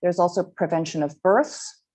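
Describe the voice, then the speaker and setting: A woman giving a slide presentation to a school audience, earnest and halting, with frequent ums and restarts. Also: fairly low pitch, a little mellow. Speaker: guest speaker presenting slides.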